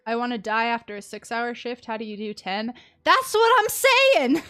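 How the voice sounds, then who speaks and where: A woman's voice: a little high, sing-song, moderate pace, bright, forceful tone, clean tone. A young adult woman chatting casually and expressively on a game livestream. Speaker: game streamer.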